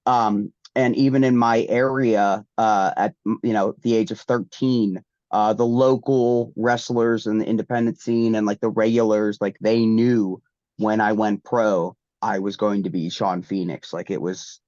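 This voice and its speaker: A man's voice: lowish voice, fairly even intonation, a little slow, darkish tone, no echo. A man, a wrestler getting over the flu, sounding congested and answering interview questions in a rambling way with many ums and restarts. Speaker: guest wrestler. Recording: dull, dry lo-fi sound.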